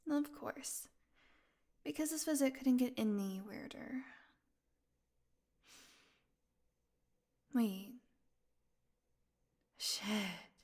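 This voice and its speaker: An actress plays a captive vampire woman with a fairly high, breathy voice. She speaks slowly and wearily, slipping into whispers. Her intimate roleplay delivery is sardonic, teasing and edged with hunger. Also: a little mellow. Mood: wary, menacing. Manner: sarcastic, breathy murmurs, drawn-out weary delivery. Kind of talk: intimate audio roleplay acting.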